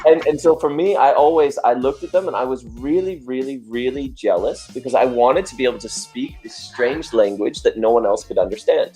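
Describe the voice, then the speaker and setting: A male dancer chatting casually in an interview, telling animated stories and laughing often. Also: medium pitch, fairly quick, few pauses, soft background music.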